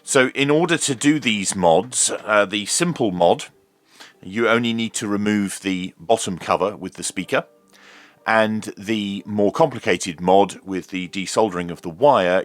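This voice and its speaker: A man's voice: fairly low pitch, a little slow, no echo, faint music behind. A man presenting an unscripted, hands-on tutorial, speaking in hesitant, piecemeal phrases with frequent ums. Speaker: presenter of radio review and repair videos.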